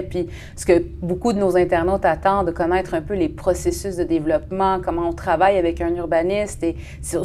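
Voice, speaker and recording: A woman's voice: on the low side, darkish tone, a little hiss or hum. A woman, hosting a podcast and introducing her guest in a conversational interview style. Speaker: podcast host, real estate broker.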